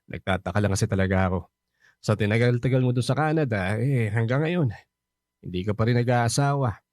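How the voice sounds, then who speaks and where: A man's voice: medium pitch, brisk, a little mellow, dry recording, studio quality, close to an everyday voice. A Filipino man playing a hearty, teasing widowed father chatting with an old friend in a radio drama.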